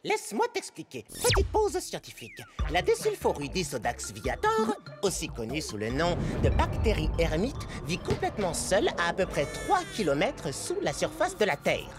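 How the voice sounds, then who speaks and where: A woman voicing a quick, fussy young microbe in a dubbed cartoon, expressive and explanatory. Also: lowish voice, loud music behind the voice. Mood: explaining.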